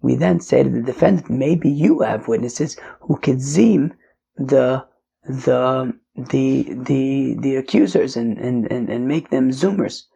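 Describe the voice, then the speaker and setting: Man, hoarse failing voice, gravelly, husky, casual Talmud lesson, slips into whispers. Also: mid-range voice, slow, dark tone, muffled recording. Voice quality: raspy, drops to whispering. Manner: casual, with fillers and mixes in Hebrew and Aramaic terms. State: losing his voice, hoarse. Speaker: Talmud teacher.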